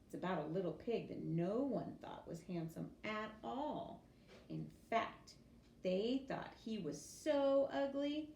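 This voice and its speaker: A woman's voice: medium pitch, expressive, slow pace, creaky, darkish tone. A woman voicing a patient mother pig in a storybook, coaxing her piglets at bedtime. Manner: coaxing, questioning bedtime talk. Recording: echoey room with background noise.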